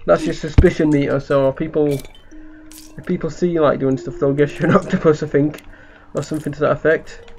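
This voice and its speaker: A woman with a sore, raspy throat hosting a let's play, patiently walking a younger player through the controls. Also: sits low, fairly even intonation, brisk, a little mellow. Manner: guiding, explaining controls. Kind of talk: casual gameplay chat. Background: game music.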